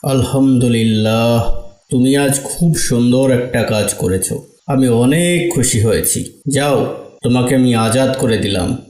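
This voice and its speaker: Man voicing a slow, mellow generous master. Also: medium pitch, lightly acted. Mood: pleased, benevolent. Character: kindly, generous, pious master, a benefactor. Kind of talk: Islamic moral story cartoon dialogue. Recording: echoey, reverberant room sound.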